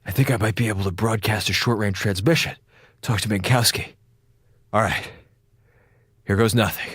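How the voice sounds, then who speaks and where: An actor in a radio drama playing a sick, captive space station officer, sarcastic, rambling and frantic. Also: male voice, lowish voice, fairly even intonation, normal speed, a bit bright, breathy edge, no room sound, studio quality. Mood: panicky, determined. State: feverish, coughing.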